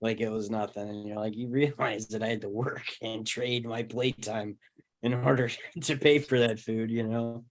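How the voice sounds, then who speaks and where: A man in a casual discussion, working through his ideas in a thoughtful, halting way and checking in with listeners. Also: fairly low pitch, fairly even intonation. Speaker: panel participant.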